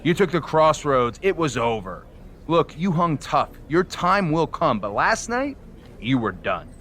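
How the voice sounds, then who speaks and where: A man's voice: on the high side, big pitch swings, a little slow, a bit bright. A man playing a proud, self-assured wrestling rival in a video game, speaking firmly. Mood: proud, challenging. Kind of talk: dramatic video game dialogue. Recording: faint background noise.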